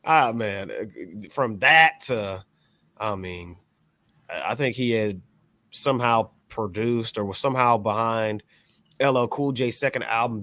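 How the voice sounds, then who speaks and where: Male American panelist, average pitch, relaxed conversational delivery, dry sound. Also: no room sound. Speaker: music podcast panelist. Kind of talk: casual conversation, agreeing and reminiscing.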